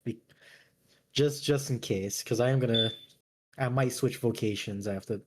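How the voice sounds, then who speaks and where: A man's voice: medium pitch, normal speed. A man taking part as a player in a tabletop game, chatting casually and thinking aloud in an informal, musing way. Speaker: player creating a character.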